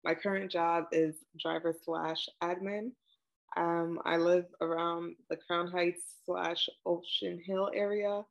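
A woman, answering questions in a relaxed oral history interview over a video call, with plenty of ums and likes. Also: fairly low pitch, takes it slowly, vocal fry, dull audio. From Brooklyn, New York. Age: 20s.

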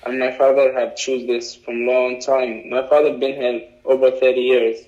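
A young man with an Arabic accent, a native Arabic speaker, answering interview questions in a halting way with many fillers. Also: mid-range voice, level tone, darkish tone. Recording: dull lo-fi recording.

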